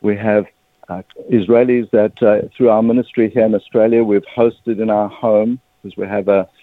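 A man's voice: lowish voice, flat delivery, dry recording. South African man on a phone line. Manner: earnest, measured, a little emotional. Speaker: guest, ministry director. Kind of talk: radio interview. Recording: tinny dry phone line.

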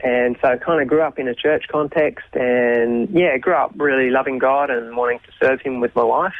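Australian man in his forties, halting with ums, heard over a thin phone-quality line. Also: medium pitch, fairly even intonation. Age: 40s. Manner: thoughtful, many ums and restarts. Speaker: interview guest, youth minister. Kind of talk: casual radio interview.

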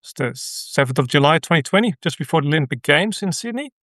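A middle-aged man from the Netherlands with a Dutch accent, a radio guest telling a personal story in an earnest, halting way with many restarts. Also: fairly high pitch, expressive, fairly bright tone, no room sound, studio quality. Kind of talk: conversational interview.

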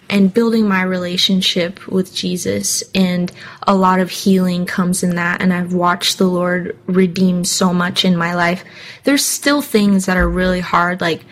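A young woman from Hawaii, a singer telling her life story in an interview, candid and earnest, with frequent fillers. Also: medium pitch. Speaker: singer guest. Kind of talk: personal interview answers.

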